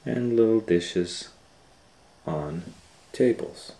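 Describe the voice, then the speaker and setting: A middle-aged man reading a picture book aloud to children in a relaxed, chatty way, sometimes dropping to a near whisper, recorded on a close camera mic at home. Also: on the low side, drawn-out words, rough recording. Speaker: children's storytime reader. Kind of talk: casual read-aloud for kids.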